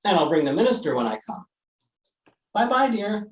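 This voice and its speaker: A man playing a wry, groggy newspaperman in old-time radio style, with a creaky voice and dry, teasing understatement. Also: high voice, big pitch swings, normal speed, roomy sound.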